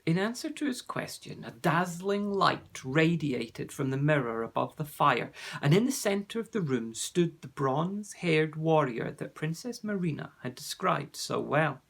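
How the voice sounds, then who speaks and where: A man reading a children's fantasy storybook aloud, with clear, expressive storytelling. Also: a little high, a little slow, few pauses. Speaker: narrator.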